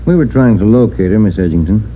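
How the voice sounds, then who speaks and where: An actor playing a courteous, plain-spoken American police detective partner, matter-of-fact, in a 1950s radio drama. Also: male voice, lowish voice, lively intonation, fast talker, a little hiss or hum, lightly acted. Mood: businesslike. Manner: understated, polite, matter-of-fact. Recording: muffled old radio recording with music.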